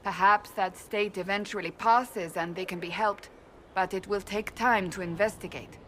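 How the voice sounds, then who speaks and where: An actress playing a stern, devout woman warrior in a video game cutscene, speaking gravely and formally. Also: medium pitch, fairly even intonation, a bit bright, close to an everyday voice. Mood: sober, sincere. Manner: grave, formal, deliberate phrasing. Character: stern, devout woman warrior of a holy order, troubled and earnest. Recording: reverberant hall, faint background noise.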